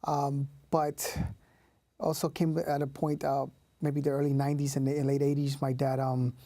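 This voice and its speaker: A man's voice: mid-range voice, completely flat delivery, moderate pace, dark tone, no echo. A man in his thirties, born in Puerto Rico, talking casually as an interview guest with many fillers and restarts. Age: 30s. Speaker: youth leader.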